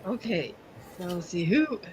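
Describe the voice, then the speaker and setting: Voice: medium pitch, sing-song, unhurried, slight background noise, low-quality recording. A player in a tabletop roleplaying game, chatting casually while taking a turn. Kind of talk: casual chat.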